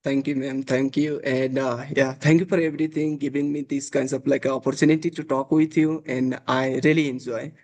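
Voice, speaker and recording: A man's voice: mid-range voice, flat delivery, normal speed, few pauses, darkish tone. A young man from Nepal, chatting on a video call and filling his talk with uh and like. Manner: hesitant, many fillers, uh and like. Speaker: interviewee. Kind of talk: casual video call chat.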